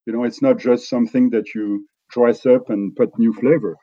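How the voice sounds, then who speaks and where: A middle-aged man from France with a French accent, talking in a relaxed interview and often restarting his sentences. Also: medium pitch, no echo, highs cut off. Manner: halting, many restarts and fillers. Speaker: company executive guest.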